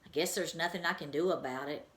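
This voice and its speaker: A woman's voice: low voice, fast talker, a bit bright, echoey room. A woman doing a gloomy little mouse. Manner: glum, worried. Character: small brave male mouse.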